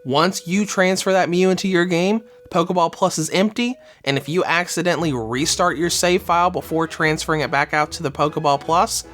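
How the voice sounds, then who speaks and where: A man's voice: on the high side, a bit bright. A man narrating a scripted review of a game controller, a little under the weather, speaking with enthusiasm.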